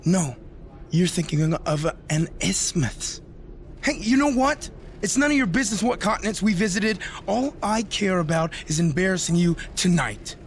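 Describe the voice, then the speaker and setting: A man voicing a vain, boastful wrestling heel, trash-talking with mocking, drawn-out emphasis in a video game scene. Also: high-pitched, expressive, slight background noise. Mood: irritated, dismissive.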